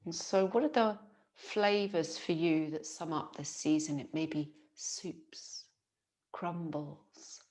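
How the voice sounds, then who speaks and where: Slow, low-voiced woman guiding deep relaxation. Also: fairly even intonation. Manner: soothing, unhurried, gentle with long pauses. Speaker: yoga nidra teacher. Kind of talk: guided relaxation meditation.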